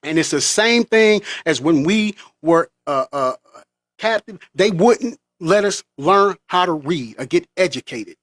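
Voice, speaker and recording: Male vlogger from the US, impassioned sermon-like rant, higher-pitched voice, dry close recording. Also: expressive, measured pace, fairly bright tone, no echo. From United States. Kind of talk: monologue.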